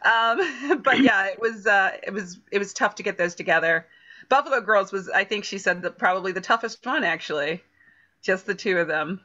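A middle-aged woman chatting in an animated way on a video-call panel about her production work. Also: mid-range voice, moderate pace. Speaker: theater production crew member. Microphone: computer mic on a video call. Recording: dull video-call audio.

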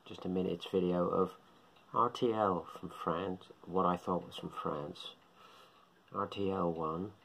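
Husky, gravelly middle-aged Englishman musing. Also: sits low, steady tone, a little slow, a bit bright. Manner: rambling, musing, repeats himself. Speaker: shortwave radio hobbyist vlogger. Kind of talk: casual talk to camera. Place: small indoor living room. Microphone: phone or webcam at close range.

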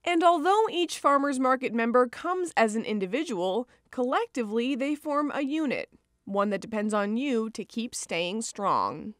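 A bright, upbeat young woman reporting for local TV, her voice rising and falling with lots of movement. The sound is close and dry, with little room around it. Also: on the high side, expressive, dry recording. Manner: bubbly, upbeat, quick reactions. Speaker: field reporter. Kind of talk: TV feature reporting. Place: outdoor farmers market.

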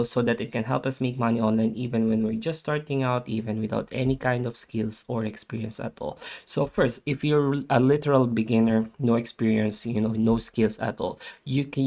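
A man's voice: mid-range voice, vocal fry, darkish tone. A man presenting a casual explainer voice-over in a conversational way, often tagging phrases with "you know" and "okay". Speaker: tutorial presenter.

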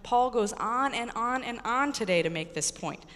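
Middle-aged woman, American accent, higher-pitched, emphatic sermon delivery, deliberate pauses, long church reverb. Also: lively intonation, fairly bright tone. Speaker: Episcopal priest preaching. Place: large church with congregation, indoors. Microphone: pulpit microphone. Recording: echoey church hall reverb.

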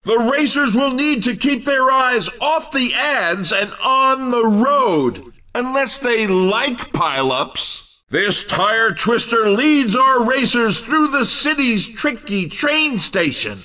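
An actor playing an excitable, over-the-top race commentator in a video game, calling out showy, punning hype. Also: male voice, extremely high pitch, slow pace, distant mic, full character voice. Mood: excited hype.

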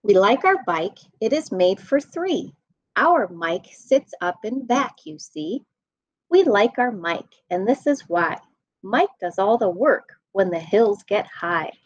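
Animated woman reading a children's storybook. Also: mid-range voice. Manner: expressive, deliberate sing-song rhyme reading. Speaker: school staff nutritional specialist reading a children's storybook. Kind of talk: read-aloud storytime for children. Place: indoor room at home. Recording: dull lo-fi webcam sound.

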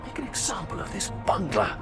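An actor playing an impatient, demanding alien council member in a video game. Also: male voice, high-pitched, huge pitch swings, brisk, over loud music. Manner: imperious, demanding. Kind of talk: cinematic video game acting.

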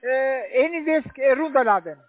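Very high-pitched male phone agent. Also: lively intonation. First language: not German. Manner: halting, insistent, polite. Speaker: call center agent. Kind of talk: phone call giving computer instructions. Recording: tinny, band-limited phone line.

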